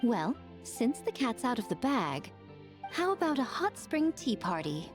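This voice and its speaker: A woman's voice: on the high side, very animated, unhurried, over music. A woman voicing a sly, composed schemer in an anime video game, with a knowing, wry way of talking. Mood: teasing.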